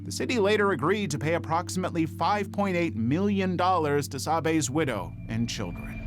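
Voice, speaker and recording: A man delivers scripted documentary narration about crime in a dramatic, storytelling manner. His voice sits a little high and moves with lively intonation. Background music runs underneath. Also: moderate pace.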